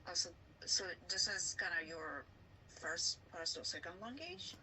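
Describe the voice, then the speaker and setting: A woman interviewer asking job interview questions in a halting way, searching for her words. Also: on the low side, very animated, sharp tone, a little hiss or hum, low-quality recording.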